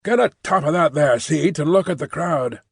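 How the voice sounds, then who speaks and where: A man voicing a gruff, ill-tempered middle-aged working-class father in a storybook, scolding in blunt, ungrammatical speech. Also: on the high side, moderate pace, no echo, clean studio sound. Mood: irritated scolding.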